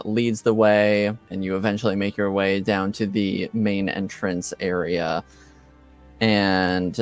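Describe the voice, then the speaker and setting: A man narrating a tabletop game as game master, pausing often as he works out the scene. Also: on the low side, smooth. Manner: hesitant, pausing delivery.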